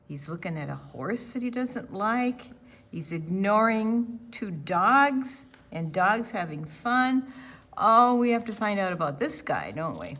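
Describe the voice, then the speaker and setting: An older woman reading aloud to young children, deliberate and expressive, with chatty asides and questions to her listeners. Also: medium pitch. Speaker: children's storytime reader.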